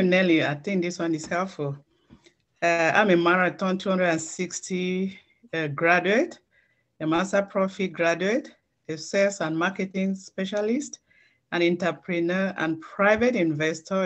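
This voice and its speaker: A middle-aged woman giving an earnest, halting personal testimony before a training presentation. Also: on the low side. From Cameroon.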